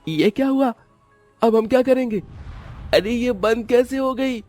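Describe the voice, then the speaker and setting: A man's voice: extremely high pitch, measured pace, a little mellow, soft background music, voice pushed up. A man voicing a frightened young intruder in a cartoon horror story, with a stammering, panicky manner. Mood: alarmed. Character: frightened young male intruder in haunted house.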